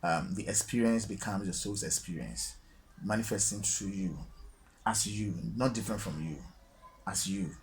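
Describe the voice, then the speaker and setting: A man from Ghana with a Ghanaian accent, talking alone to his viewers in a halting, reflective way, full of fillers and repeated words. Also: sits low, husky. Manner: slow, reflective, repeats phrases for emphasis. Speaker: solo vlogger. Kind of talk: unscripted spiritual musing. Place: alone, lighting fading.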